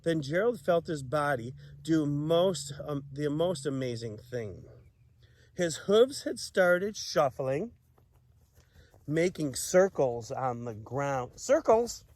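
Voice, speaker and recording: A man reads a picture book aloud to children in a dry, close sound. His delivery swings widely in pitch and takes its time, playful and expressive, with chatty asides. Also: slow pace, no room sound.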